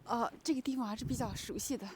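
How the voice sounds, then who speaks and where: A woman's voice: fairly high pitch, fast, noisy background. A woman hosting her own channel, chatting as she walks through the snow to a home and then asking interview questions. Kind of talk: vlog narration and interview questions. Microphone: handheld camera.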